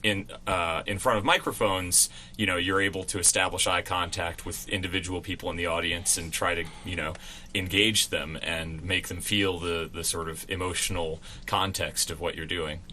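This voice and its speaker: A man's voice: low voice, steady tone, moderate pace, bright, forceful tone, slight background noise. A man being interviewed on college radio as a radio play actor, talking in a chatty, explanatory way.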